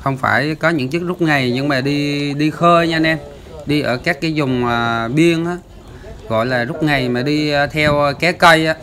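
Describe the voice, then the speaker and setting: Man, chatty voice-over, bright edge, faint background hiss, casual explaining. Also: fairly high pitch, normal speed. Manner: chatty, explaining, many filler sounds. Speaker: fishing channel host.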